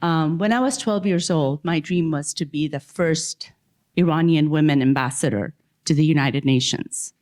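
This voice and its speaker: A woman from Iran tells a personal story as a panelist. Her voice sits a little low, and she speaks in a measured, emphatic way, stretching key words slowly. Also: a little mellow.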